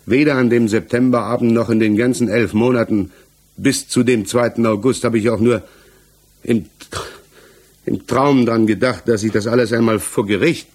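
Actor as halting, husky, troubled male defendant. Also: medium pitch, darkish tone, natural voice. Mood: uneasy, earnest. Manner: halting, searching for words, defensive. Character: troubled male defendant, married father, haunted and evasive. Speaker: actor in a radio crime drama. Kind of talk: 1960s German radio play acting. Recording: 1960s mono radio production.

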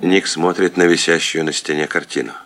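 A man's voice: deep voice, steady tone, brisk, fairly bright tone. A man narrating stage directions for a radio play as a scripted narrator.

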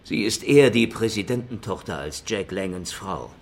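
A man's voice: on the low side, lively intonation, moderate pace, creaky. An actor playing a chatty, bitter ex-convict in his fifties, colloquial and confiding, in a crime radio drama. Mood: wry, bitter. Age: 50s. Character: stocky fiftyish ex-convict former guard, chatty and bitter.

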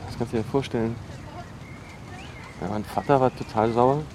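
An actor playing a defensive, awkward young dropout in a naturalistic radio drama, halting and repeating himself as he talks. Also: medium pitch, a little mellow, natural voice. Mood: awkwardly confiding. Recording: noisy location recording with ambient hum.

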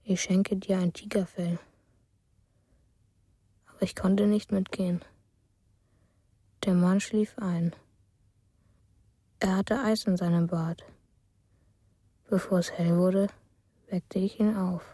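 An actress in a radio play voicing a dreamy, lonely woman who tells things slowly and simply. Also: lowish voice, steady tone, warm tone, husky, no room sound. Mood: dreamy, hushed.

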